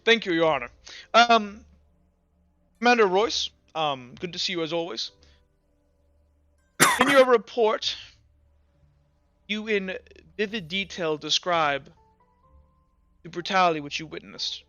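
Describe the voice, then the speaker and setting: Man voicing a forceful, formal courtroom sergeant. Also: sits high, lively intonation, measured pace, pauses often, smooth, no echo, lo-fi sound, faint music behind, pitched above the performer's own voice, full character voice. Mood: formal, probing. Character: formal, probing sergeant questioning a witness in court.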